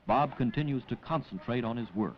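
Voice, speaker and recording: A man reading scripted educational film narration in a formal, measured, clinical manner, on a 1950 film soundtrack. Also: medium pitch. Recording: dull lo-fi 1950 film soundtrack, clear noise.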